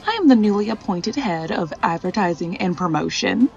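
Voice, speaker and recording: A woman's voice: medium pitch, expressive, moderate pace, gravelly, darkish tone, dull audio. A woman playing an earnest, fretful department store manager in a 1940s radio acting style. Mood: bright greeting.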